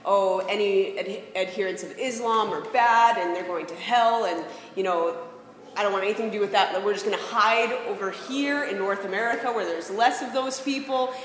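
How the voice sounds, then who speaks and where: A woman's voice: medium pitch, normal speed. Woman speaking in a very echoey hall. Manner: reflective, poses rhetorical questions. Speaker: speaker presenting ideas on faith and peacemaking. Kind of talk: informal public talk. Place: large reverberant room. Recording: very echoey hall, faint background noise.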